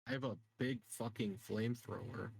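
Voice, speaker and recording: A man playing a tabletop game, chatting casually with a flippant, deadpan joking manner. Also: on the low side, moderate pace, vocal fry, slightly rough, dry recording.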